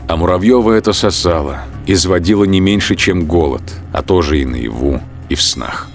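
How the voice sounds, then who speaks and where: A man narrating a radio play in a measured, grave storytelling manner. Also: low voice. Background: music.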